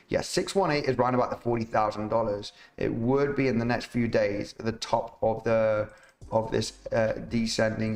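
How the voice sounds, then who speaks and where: A man streaming live chart analysis, chatty and rambling, reading out viewer comments, his voice slightly rough. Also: lowish voice. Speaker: crypto market analyst streamer. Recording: roomy echo, faint music behind.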